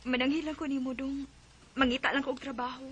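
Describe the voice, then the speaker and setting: A woman's voice: sits high, steady tone, fast, breathy edge. An actress playing a caring, practical young girlfriend in a radio drama, with a Cebuano Filipino accent. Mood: resigned, plaintive.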